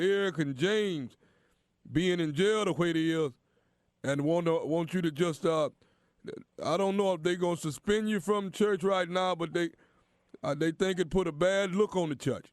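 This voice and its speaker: Officious church deacon played by a man, high voice, halting, folksy delivery for a prank call, dry sound. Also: no room sound, close to an everyday voice. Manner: halting, repeats words, folksy. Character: bumbling, stammering church deacon, officious and apologetic prank caller.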